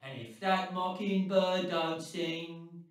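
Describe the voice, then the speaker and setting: A man's voice: high voice, slow, recorded from a distance. A man playing an unhinged, foul-mouthed criminal in a video game, erratic and crude, in a very echoey space away from the mic. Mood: morbid lullaby.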